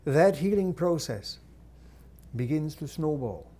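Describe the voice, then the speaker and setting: Slow, formal male channeler. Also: on the high side, lively intonation, mellow. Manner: measured, formal, with long pauses and hums. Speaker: channeler speaking as a guide entity. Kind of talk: slow deliberate channeled teaching.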